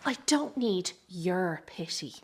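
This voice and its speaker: A woman acting in a radio drama as a bitter, defiant young circus performer, confrontational, sarcastic and quick to swear. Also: lowish voice, animated, slightly rough, full character voice. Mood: wary, guarded. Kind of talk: dramatic radio play dialogue.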